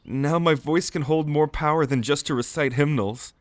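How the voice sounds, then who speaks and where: A performer voicing a soft-spoken, polite young temple singer in a fantasy audio drama, formal and a bit hesitant, often sighing. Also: mid-range voice, moderate pace, dry recording, studio quality, close to an everyday voice. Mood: earnest. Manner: gentle and formal, with frequent sighs and hesitant fillers. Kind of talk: fantasy audio roleplay acting.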